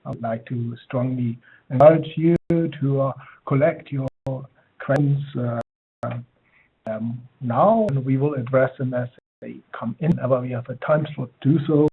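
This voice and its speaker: A man's voice: medium pitch, normal speed, husky. A man moderates a webinar, thanking the speaker and inviting questions, talking fluently with occasional fillers. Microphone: web conference audio. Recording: tinny phone-like web call audio with clicks.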